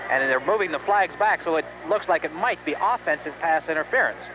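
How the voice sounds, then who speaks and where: Male American color commentator for football radio, quick talker with a high voice, dull and noisy vintage broadcast sound. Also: expressive. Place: outdoors at a stadium.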